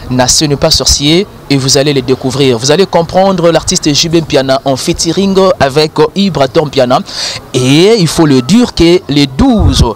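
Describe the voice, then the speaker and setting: A man's voice: fairly high pitch, slight background noise. A man presenting culture news while sick with flu and a cough, formal with frequent hesitations. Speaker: web TV presenter.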